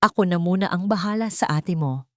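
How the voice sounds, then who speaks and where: A woman narrator voicing a calm, serious man with gentle authority in an audio love story. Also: lowish voice, dry recording, clean studio sound, natural voice. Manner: calm, measured.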